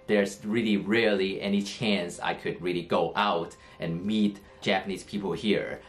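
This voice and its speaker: Man, low earnest voice. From Hong Kong. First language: Cantonese. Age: 30s. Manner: earnest, explanatory, with many fillers and restarts. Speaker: English teacher. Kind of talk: personal story talk to camera.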